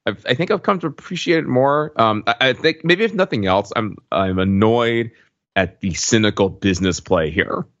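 A man with a fairly low voice talks casually as a podcast panelist. He is measured and a little hesitant. The recording is dry and slightly dull. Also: expressive, no room sound. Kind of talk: casual TV show discussion.

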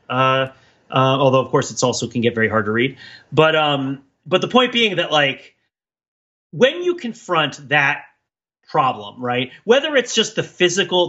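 Male podcast co-host, mid-pitched and bright. Discursive, casual, thinks out loud. Slightly lo-fi sound. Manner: discursive, thinking aloud, often tags points with "right?".

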